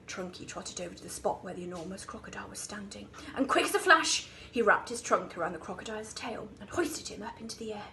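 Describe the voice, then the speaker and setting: A woman reading a children's story like an infant school teacher, expressive and with dramatic emphasis. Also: mid-range voice, quick pace, creaky, hardly any pauses, slight background noise.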